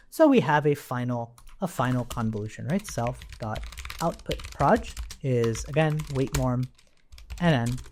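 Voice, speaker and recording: A man's voice: fairly high pitch, darkish tone, slight background noise. A man giving an informal live coding lesson, explaining step by step and often checking in with short tag questions. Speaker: programming instructor. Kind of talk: live coding tutorial, explaining as they type.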